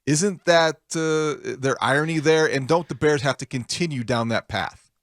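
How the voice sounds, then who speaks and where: A man's voice: fairly high pitch, normal speed, no room sound, clean studio sound. A man hosting sports talk radio, putting long, argumentative questions in a conversational way.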